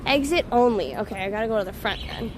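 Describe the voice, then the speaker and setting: A woman's voice: medium pitch, animated, fast talker. A young woman hosting a live stream on a phone while she walks outdoors, chatting and answering viewer comments, her voice slightly strained. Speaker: livestream host. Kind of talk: casual live stream chat while walking. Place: outdoors on a busy city street. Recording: loud outdoor street noise. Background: street traffic.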